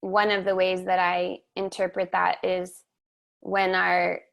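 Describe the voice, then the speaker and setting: Measured woman with a flat, gravelly voice. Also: fairly low pitch. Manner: slow, measured, reflective. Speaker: webinar host and somatic teacher. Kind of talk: reflective guided conversation. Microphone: video call.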